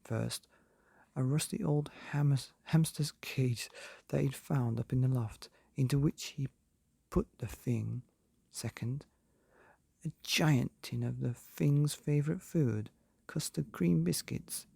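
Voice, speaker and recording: Slow, gravelly, mellow male storyteller. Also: mid-range voice, no room sound. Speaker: narrator of a bedtime stories podcast. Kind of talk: scripted storybook narration.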